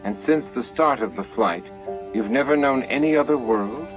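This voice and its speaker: A man voicing a stern, probing starship captain in an audiobook, in a measured, challenging manner. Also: mid-range voice, animated, highs cut off, music in the background, pitched above the performer's own voice, close to an everyday voice. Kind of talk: audiobook character dialogue.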